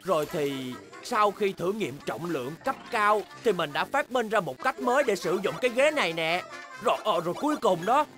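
A woman voicing a grumbling, excitable, sleepless young bear in a children's cartoon dub, with a whiny, dramatic delivery. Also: medium pitch, moderate pace, close to an everyday voice. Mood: weary complaining. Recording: background cartoon music.

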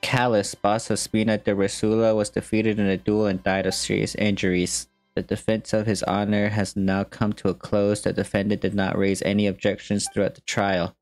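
A man's voice: on the low side, completely flat delivery, normal speed, few pauses, a little mellow, no room sound. A young man doing a casual game playthrough, reacting and reading on-screen text aloud as a streamer. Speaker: gaming streamer. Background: faint game music.

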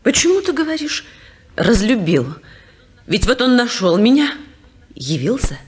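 A woman in an old radio family drama. She has a fairly high voice that swings expressively and takes its time. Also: very animated, a little slow. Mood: troubled. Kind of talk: 1950s Soviet radio play acting.

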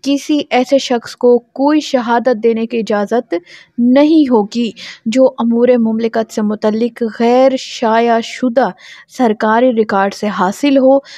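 Slow-paced Pakistani woman lecturer, higher voice. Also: mellow, dry recording. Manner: didactic, asks and answers own questions. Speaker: law lecturer. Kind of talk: explanatory lecture, reading statute aloud and explaining it.

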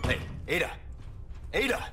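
An actor playing a world-weary young action hero in a video game cutscene, speaking in a terse, dry, sardonic manner. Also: male voice, unhurried, noisy background, close to an everyday voice. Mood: urgent, angry. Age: young adult.

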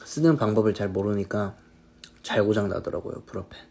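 A man in his thirties with a low voice that has a gravelly edge, chatting casually with viewers over a phone live stream. His tone is dark and mellow, and the talk is easygoing. A faint background noise sits under the voice. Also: fairly quick. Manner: relaxed, answers viewers' questions, drifts between snacks and hobbies. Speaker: singer hosting a live stream. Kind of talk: casual live-stream chat reading comments.

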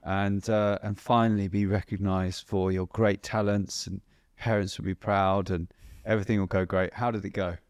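A man's voice: low voice, level tone, normal speed, few pauses, no room sound, studio quality. A young man hosting a podcast, asking casual, playful questions and retelling his guest's story back to him. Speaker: podcast host. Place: bright living-room studio. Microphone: boom-mounted podcast mic.

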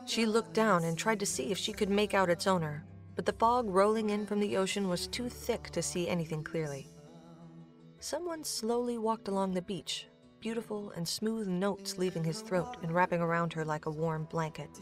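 A woman's voice: mid-range voice, normal speed. A woman reading scripted narration for a fantasy audio drama. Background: music.

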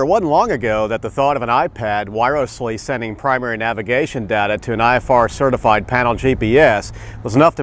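A man narrates a scripted aviation segment in a wry, explanatory tone at a middle pitch. A faint hiss runs under the voice. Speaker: magazine editor and narrator.

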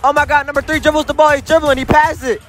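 A young man running a joking street interview in school hallways, firing off quick teasing questions into a handheld mic. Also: very high-pitched, flat delivery, a bit bright, faint music behind. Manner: playful, teasing, rapid-fire questions. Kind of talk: joking public interview and game commentary. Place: school hallways and gym, indoors.

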